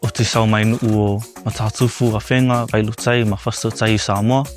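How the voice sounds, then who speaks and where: A young adult man, an arts student, introducing himself in a calm, unhurried way. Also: fairly low pitch, soft background music. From New Zealand.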